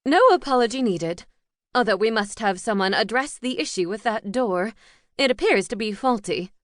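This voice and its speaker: A woman voicing a stern, imposing older woman teacher of witches, speaking in a formal, crisp and commanding way. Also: fairly high pitch, expressive, moderate pace, no room sound, studio quality, lightly acted. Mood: composed.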